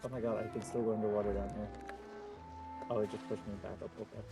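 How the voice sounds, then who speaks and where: A man's voice: lowish voice, level tone, quick pace, over loud music. A young adult man giving casual live playthrough commentary as a streamer, reacting with muttered exclamations. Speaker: game streamer.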